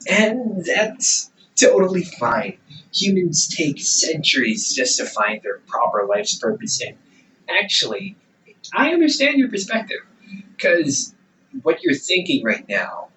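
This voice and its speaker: A man acts out an ancient demon older brother for an audio roleplay, slightly distant from the mic. His voice is bright and sharp, swinging widely in pitch as he mocks and condescends. He talks like a smug sibling who is casually menacing. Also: huge pitch swings, slow, slight background noise, exaggerated voice. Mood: condescending, reassuring. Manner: sarcastic, condescending, drawling with chuckles. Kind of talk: audio roleplay acting, conversational monologue.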